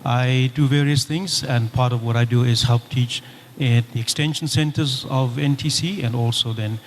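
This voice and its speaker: A pastor from South Africa introduces himself to a conference panel in a plain, matter-of-fact way. His voice is middle-pitched, with a long hall reverb and a faint hiss behind it. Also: steady tone, normal speed. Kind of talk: brief self-introduction on a panel.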